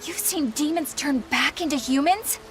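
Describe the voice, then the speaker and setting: Actress playing a polite earnest young woman exorcist, high voice, formal careful speech. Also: normal speed, over music, natural voice. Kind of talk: game dub acting.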